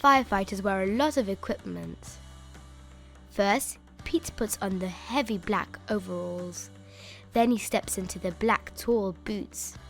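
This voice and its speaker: A woman reading a children's picture book aloud in an expressive, emphatic way, stretching out key words. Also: mid-range voice, measured pace, creaky. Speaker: children's story reader. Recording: music bed behind the voice.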